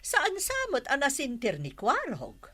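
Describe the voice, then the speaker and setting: A woman's voice: high-pitched, wildly swooping pitch, a little slow, pushed and bright, heavily acted. A woman playing a businesslike village hall worker handling complaints, with an Ilocano accent, in a radio comedy-drama. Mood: concerned. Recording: music playing behind the voice.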